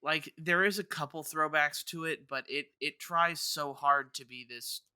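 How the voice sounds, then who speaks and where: Very bright, clear casual male podcaster. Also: on the high side, fairly even intonation, measured pace, dry recording, clean studio sound. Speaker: podcast co-host.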